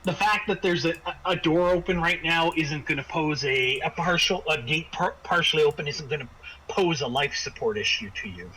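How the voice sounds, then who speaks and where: Bright-voiced man running a tabletop game. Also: on the high side, low-quality recording. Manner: hesitant, halting phrasing with repeated words. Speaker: game master. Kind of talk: improvised narration of a tabletop game. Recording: faint background noise.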